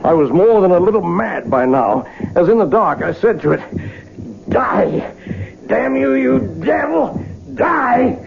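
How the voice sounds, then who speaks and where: An actor playing a guilt-ridden, half-mad middle-aged clockmaker in an old radio drama, brooding and melodramatic. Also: male voice, sits high, sing-song, unhurried, vocal fry, very noisy, heavily acted. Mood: frantic, angry. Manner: brooding, melodramatic, literary phrasing. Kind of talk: old-time radio drama acting, first-person narration. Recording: tinny, phone-like vintage radio recording. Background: dramatic music.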